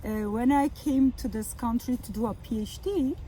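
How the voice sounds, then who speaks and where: A middle-aged woman from Turkey, a professor talking outdoors in a garden, speaking English with many fillers and pauses. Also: on the high side, mellow, slight background noise, low-quality recording. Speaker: architecture professor. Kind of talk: outdoor conversation.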